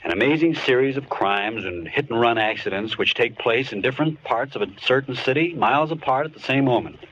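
A man hosting an old-time radio drama, addressing listeners in an earnest, measured way. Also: medium pitch, a bit bright. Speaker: radio drama host and writer. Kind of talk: 1930s radio show host. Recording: dull old radio broadcast with hiss.

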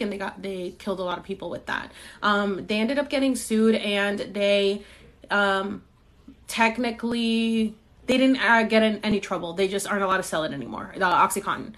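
A woman's voice: mid-range voice, a bit bright. A young woman from Puerto Rico hosting a podcast, chatting loosely through comments on a live stream from her phone, full of ums and likes and casual swearing. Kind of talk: casual rambling chat. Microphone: phone during a live stream.

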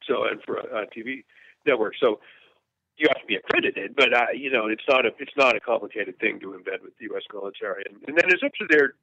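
A man's voice: lowish voice, gravelly, no echo. Earnest man in his fifties on a tinny line. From United States. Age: 50s. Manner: earnest, frank, halting with restarts. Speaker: war journalist guest. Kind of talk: candid interview storytelling. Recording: tinny phone-line sound, dry.

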